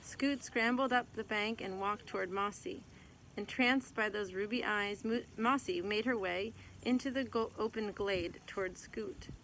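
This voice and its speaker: A woman's voice: mid-range voice, measured pace, a bit bright, poor audio. A woman reading a children's picture book aloud as a teacher would, in scripted narration. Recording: clear background noise.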